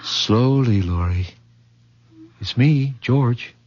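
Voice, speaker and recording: An actor playing an earnest, protective young fiancé in a 1970s radio mystery drama. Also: male voice, medium pitch, drawn-out words, darkish tone, slight background noise. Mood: concerned. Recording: muffled old radio broadcast recording.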